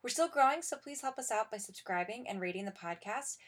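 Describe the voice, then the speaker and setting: Brisk woman reading an outro. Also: on the high side, animated. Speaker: podcast host. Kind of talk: scripted podcast outro.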